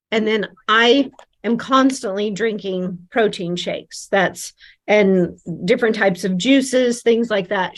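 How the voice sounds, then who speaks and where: A woman's voice: mid-range voice, fairly bright tone. A woman in a casual Q&A, asking a thorough, careful question. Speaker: audience member asking a question.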